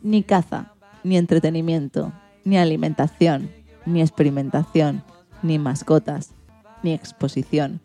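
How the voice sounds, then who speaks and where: A woman's voice: low-pitched, steady tone, a little slow, dark tone, soft background music. A woman hosting a radio show, presenting and interviewing in a scripted style.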